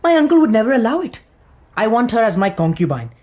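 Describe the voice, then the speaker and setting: A woman's voice: fairly high pitch, very animated, fast, dark tone, a little hiss or hum. A woman playing a young male general, earnest, polite and formal, in a radio drama.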